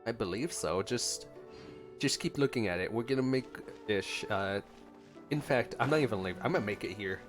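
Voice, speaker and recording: A man's voice: on the low side, expressive, a little fast. A man giving casual reaction commentary as a gaming host, playfully talking along with the game's characters. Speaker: gaming video host reacting to a story quest. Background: soft game music.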